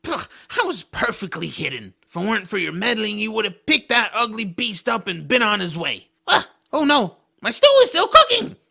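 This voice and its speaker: A man's voice: high-pitched, wildly swooping pitch, normal speed, gravelly, hardly any pauses, sharp tone, breathy edge, exaggerated voice. A man voicing a small, grumpy male troll in an audio drama, sarcastic, fretful and forever complaining. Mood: indignant.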